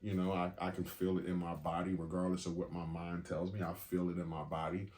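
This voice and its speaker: Candid, low-voiced man in his forties. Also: level tone, a little fast, a little mellow. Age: 40s. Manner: candid, profane, laughs easily. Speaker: podcast co-host. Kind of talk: casual chat.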